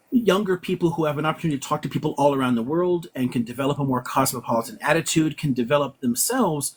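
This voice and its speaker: A man, a professor, talking bluntly and with a lot of swearing on a casual live stream, quick and full of restarts. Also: on the high side, breathy edge. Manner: blunt and profane, fast with many restarts. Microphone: live-stream setup in his office.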